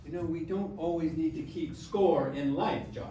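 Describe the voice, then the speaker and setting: A man's voice: on the high side, normal speed, dark tone, muffled recording, a little hiss or hum, natural voice. An actor playing a blunt, big-hearted old grandfather in a staged musical reading, moving between speech and song. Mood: wry, cautious. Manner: blunt, profane, avuncular advice-giving. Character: cheeky, big-hearted grandfather, old man back from death. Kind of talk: staged musical reading, part sung, part spoken. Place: indoor staged reading before live music. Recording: echoey room, far mic, piano behind.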